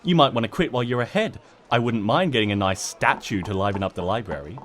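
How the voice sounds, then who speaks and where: A man's voice: fairly low pitch, fast talker, fairly bright tone, voice pushed down, natural voice. A man voicing an exasperated young female cartoon pony with a sarcastic, bossy, huffy manner. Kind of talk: cartoon fan-fiction character reading.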